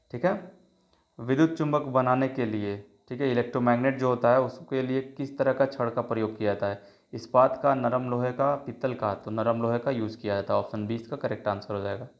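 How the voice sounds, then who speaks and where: Hoarse man teaching exam quiz answers. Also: medium pitch, lo-fi sound. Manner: reads options then gives the answer, many filler checks. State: sore throat. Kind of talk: quiz-style exam prep lesson.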